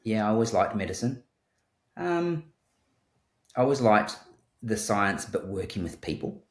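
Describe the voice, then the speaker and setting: Man, New Zealand accent, very deep, thoughtful, restarts sentences, roomy echo. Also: sing-song, a little slow. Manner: thoughtful, repeats words when starting sentences. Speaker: guest surgeon. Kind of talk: conversational interview.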